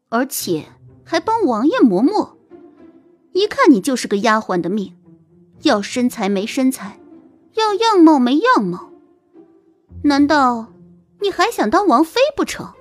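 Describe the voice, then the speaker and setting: A woman's voice: high voice, big pitch swings, unhurried, long pauses, soft background music. A woman playing a haughty young concubine in an audio drama, mocking with falsely sweet, spiteful politeness. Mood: scornful. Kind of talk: Chinese audio drama acting.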